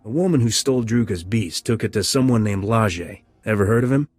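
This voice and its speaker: A wisecracking smuggler captain in a game, kinda low, cocky, music behind. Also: male voice, animated, a little fast, darkish tone, no echo. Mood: wry, breezy. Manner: wry, flippant. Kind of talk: video game character acting. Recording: music under the voice.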